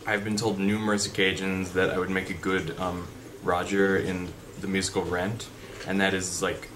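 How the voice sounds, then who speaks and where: A young man with a deep voice chatting unscripted in a vlog, rambling with fillers and restarts. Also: level tone, moderate pace. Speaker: vlogger. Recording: background noise.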